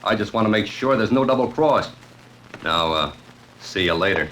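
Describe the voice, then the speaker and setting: An American actor playing a cold, cocky, greedy hired killer in a 1940s radio crime drama. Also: male voice, lowish voice, lively intonation, normal speed, a bit bright. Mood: smug. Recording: old radio recording with faint noise.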